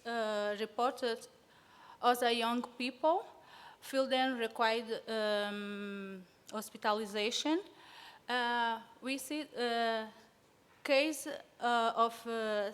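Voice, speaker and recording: A woman's voice: fairly high pitch, clean tone. Nervous woman presenting in a reverberant hall. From São Tomé and Príncipe. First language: Portuguese. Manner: hesitant, many fillers, nervous. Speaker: conference presenter. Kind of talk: academic talk. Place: conference hall.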